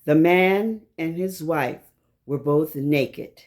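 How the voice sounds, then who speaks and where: A woman reading scripture aloud from a text in a measured, deliberate manner, pausing between short phrases. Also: deep voice, darkish tone. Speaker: scripture reader.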